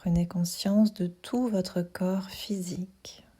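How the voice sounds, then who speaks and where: Slow, soft, breathy woman guiding meditation. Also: fairly low pitch. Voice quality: soft, breathy, half-whispered. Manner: calm, soothing, unhurried instructions with pauses. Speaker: yoga nidra guide. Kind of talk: guided sleep meditation.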